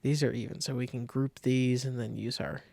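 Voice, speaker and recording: A man giving a casual step-by-step software tutorial, thinking aloud informally as he demonstrates. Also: mid-range voice, steady tone, moderate pace, creaky, no room sound. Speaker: design tutorial instructor.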